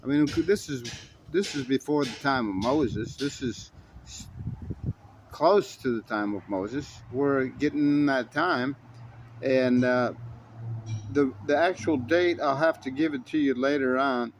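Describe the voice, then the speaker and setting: A man tells a long first-person story in an unhurried, folksy drawl, doubling back and correcting himself as he goes. His pitch sits in an ordinary middle range, with a faint hum of ambience behind him. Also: rough recording. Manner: folksy, meandering, frequent restarts and self-corrections. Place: in his shop.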